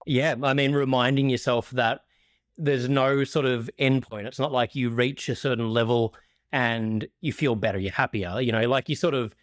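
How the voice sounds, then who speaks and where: A man hosting a podcast, relaxed and agreeable, with many fillers. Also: mid-range voice, moderate pace, dry recording, clean studio sound. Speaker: podcast host. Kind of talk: casual interview chat.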